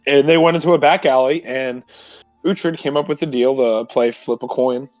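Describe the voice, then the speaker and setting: Halting man recapping over music, dry sound. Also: mid-range voice, fairly even intonation, a little mellow, dry recording. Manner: halting, self-correcting storytelling. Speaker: tabletop roleplay player. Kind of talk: informal recap of a previous game session.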